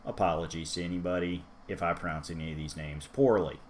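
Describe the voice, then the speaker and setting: A man's voice: deep voice, steady tone, quick pace, a little hiss or hum. A man hosting a casual manga review, talking chattily with asides to the viewer. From United States. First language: English. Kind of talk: casual solo review talk.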